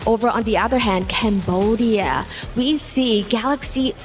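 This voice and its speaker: A woman's voice: on the high side, a little slow, faint music behind. A woman presenting an esports show with a polished, announcer-like opener. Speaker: esports caster.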